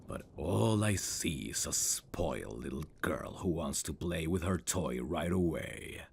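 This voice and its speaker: Male actor as haughty eldest prince, fantasy audio roleplay, creaky, breathy and husky, formal, condescending, commanding. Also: lively intonation, unhurried, a little mellow, full character voice. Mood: scornful, cold. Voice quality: gravelly, breathy low voice.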